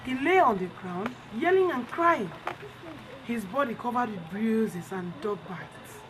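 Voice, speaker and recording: A woman's voice: fairly high pitch, animated, unhurried. A woman telling a story to children and repeating its lessons emphatically, like a teacher. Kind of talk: bedtime storytelling and question-and-answer with children. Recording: clear background noise.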